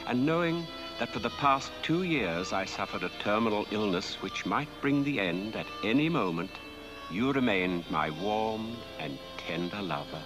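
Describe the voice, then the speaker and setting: Smug rich husband, played by a male actor: grand, drawn-out film monologue, very animated pitch, over music. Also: natural voice. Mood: tender, sly. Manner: theatrical, savouring, drawn-out delivery. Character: smug, wealthy dead husband reading his own will, theatrically tender.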